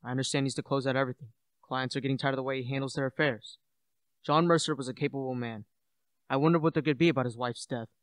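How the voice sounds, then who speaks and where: A teenage male student actor playing a sensible, dismissive gentleman in a radio play, brisk and matter-of-fact. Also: medium pitch, steady tone, clear, resonant tone, no echo, studio quality, natural voice. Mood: concerned. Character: sensible, dismissive gentleman, loyal old friend.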